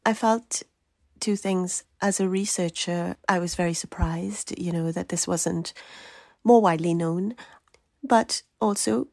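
Gravelly-voiced woman researcher. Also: lowish voice, a little mellow, no echo. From Trinidad. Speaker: researcher and writer. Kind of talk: interview answers.

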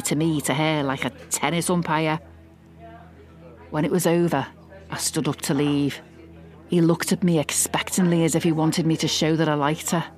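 A woman playing a possessive middle-aged mother in a confiding dramatic monologue, smug, mock-innocent and quietly malicious. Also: low-pitched, close to an everyday voice. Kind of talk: dramatic monologue, confiding fiction podcast narration.

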